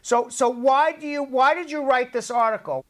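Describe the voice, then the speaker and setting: A middle-aged man hosts a talk show from a studio desk. He fires brisk, direct questions and keeps restating what his guests say. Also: extremely high pitch, fairly bright tone. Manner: brisk, questioning, repeats points back. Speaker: show host.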